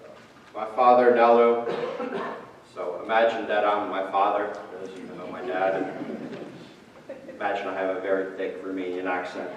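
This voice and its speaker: A man's voice: sits low, level tone, normal speed, long pauses, noisy background. A man reading a written tribute aloud at a memorial service. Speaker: family friend reading his father's tribute. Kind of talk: memorial speech read aloud. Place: large reverberant hall at a memorial service. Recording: muffled, echoey hall, distant mic.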